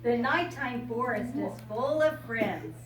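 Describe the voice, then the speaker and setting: An older American woman speaks to young children in a simple, explanatory way, as a visiting storyteller would. Her voice is on the high side. The recording is distant and echoey, with strong noise behind her. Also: expressive, slow. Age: 60s or older. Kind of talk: informal talk and picture-book reading to children. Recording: echoey room, distant mic, loud background noise.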